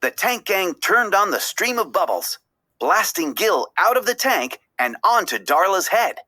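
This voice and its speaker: A woman narrating a children's story aloud, expressively, with deliberate, dramatic phrasing. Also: medium pitch, big pitch swings, sharp tone.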